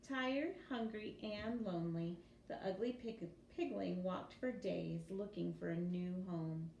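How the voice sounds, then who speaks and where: A woman reading a children's story aloud like a teacher, with expressive, animated storytelling delivery. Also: fairly low pitch, unhurried, dull audio. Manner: animated storytelling with acted character voices. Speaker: teacher reading a picture book to young pupils. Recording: echoey room with clear background noise.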